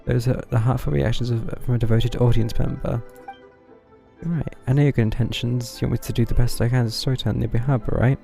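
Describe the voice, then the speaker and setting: A man's voice: mid-range voice, steady tone, fast, creaky, dark tone. A man streaming a video game with casual live commentary, reading dialogue aloud and mumbling through parts of it. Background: game music.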